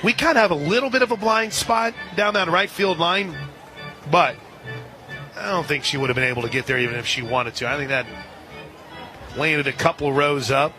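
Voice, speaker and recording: A man doing live radio play-by-play commentary of a softball game. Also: fairly high pitch, moderate pace, pauses often, music in the background. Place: outdoor softball stadium broadcast position. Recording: outdoor ballpark, clear crowd noise behind.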